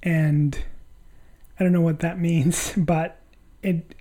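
Male guest from Honduras, slow pace, soft mellow timbre, reflective, halting conversational storytelling. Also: a little high, flat delivery. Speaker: podcast guest.

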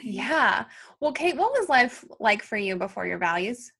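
A woman hosting a podcast speaks with a slightly high voice in a casual chat. She is agreeable and encouraging and keeps affirming her guest. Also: sing-song, measured pace, gravelly, sharp tone, husky.

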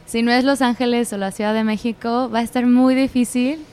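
A woman hosting a casual podcast, upbeat and quick to laugh, with slang. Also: on the high side, fairly even intonation, darkish tone, clean tone. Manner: upbeat, laughs often, uses Mexican slang. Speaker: podcast host.

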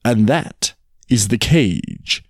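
A man voicing a savage, impatient tiger in a storybook tale, growling out slow, emphatic words. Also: lowish voice, huge pitch swings, gravelly, a little mellow, dry recording, clean studio sound, heavily acted. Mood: impatient rage. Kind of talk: storybook character reading.

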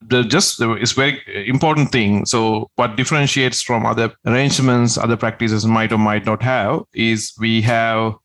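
Sri guy, tax agent, explains things step by step, mid-pitched. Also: steady tone, normal speed, hardly any pauses, fairly bright tone. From Sri Lanka. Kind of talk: interview answers.

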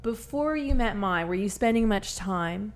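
A woman hosting a conversational interview show, telling stories in a chatty, animated way. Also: medium pitch, measured pace. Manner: chatty, animated storytelling with laughs. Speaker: radio show host.

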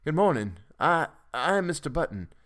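A man voicing an appalled new father, a high-strung gentleman who gasps and exclaims on the edge of collapse. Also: big pitch swings, normal speed. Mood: nervous.

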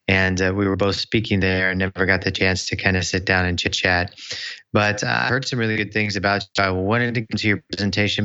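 Interview host with a crisp, bright voice, friendly and chatty, heard on lo-fi call audio. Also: male voice, fairly low pitch. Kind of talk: casual interview.

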